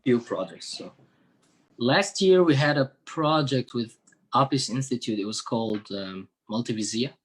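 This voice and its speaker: Guy from syria, chatting as an interview guest, mid-pitched voice, kinda searching for his words. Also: normal speed, slight background noise. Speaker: interview guest, musician working with NGOs. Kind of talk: conversational interview answers.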